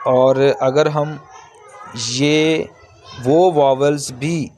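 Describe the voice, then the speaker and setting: A man giving an informal, step-by-step language lesson in a halting, repetitive way, explaining as a teacher does. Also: medium pitch, fairly even intonation, a little mellow. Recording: clearly noisy background.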